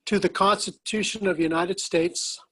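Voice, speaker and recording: A man's voice: sits high, steady tone, fairly bright tone. A man on a video call, losing his voice, leading a school board oath of office phrase by phrase. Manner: halting, apologetic. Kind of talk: reading an oath aloud. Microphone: home microphone on a video call.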